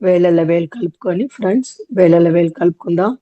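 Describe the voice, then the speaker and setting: A woman leading a guided meditation, giving gentle instructions in short, often repeated phrases. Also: on the low side, very fast, phone-quality sound.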